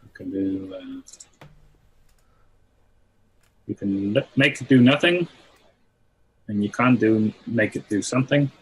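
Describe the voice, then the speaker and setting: Man, lowish voice, deadpan, casual software demo, faint background noise on video call. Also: takes it slowly, pauses often, poor audio. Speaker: guest plugin developer demonstrating. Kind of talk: casual screen-share demo.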